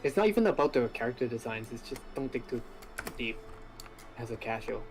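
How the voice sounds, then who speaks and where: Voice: mid-range voice, lively intonation, a little fast, darkish tone, soft background music. A co-streamer on a call, chiming in with offhand, laid-back remarks. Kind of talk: casual chat.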